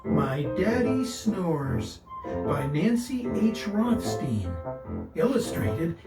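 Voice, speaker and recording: A woman narrating a children's picture book deliberately and expressively, with dramatic pauses. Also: on the low side, big pitch swings. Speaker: guest story reader. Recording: roomy distant voice under loud music. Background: loud instrumental music.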